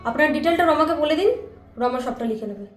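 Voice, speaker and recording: An actress playing a composed, commanding police officer in a crime drama, questioning formally. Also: female voice, high-pitched, expressive, brisk. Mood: firm, commanding. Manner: formal, probing, authoritative. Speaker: police investigator. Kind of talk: short crime drama acting. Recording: echoey room, music behind.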